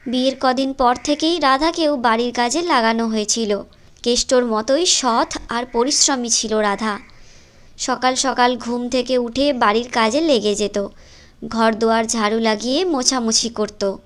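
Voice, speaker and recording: A woman's voice: fairly high pitch, measured pace. A woman reading scripted storytelling narration for a moral cartoon. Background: music.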